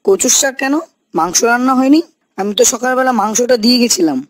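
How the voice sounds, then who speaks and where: A woman's voice: a little high, lively intonation, fast, no room sound. A woman voicing a dutiful young village husband in a cartoon, questioning and complaining. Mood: nagging, irritated. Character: dutiful, nagging young married village son. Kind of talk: cartoon character dialogue. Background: faint music.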